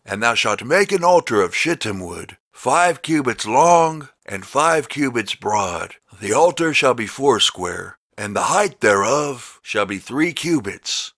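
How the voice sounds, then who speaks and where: A man reading scripture as a scripted narration, slowly, with deliberate emphasis on the words. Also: mid-range voice, big pitch swings, fairly bright tone, dry recording, studio quality. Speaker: Bible narrator.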